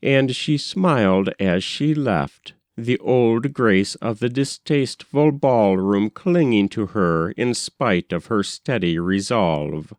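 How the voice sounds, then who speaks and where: Male narrator for literary short fiction: unhurried, animated pitch, dry close sound. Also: no echo. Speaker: narrator, reader. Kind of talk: expressive literary story narration.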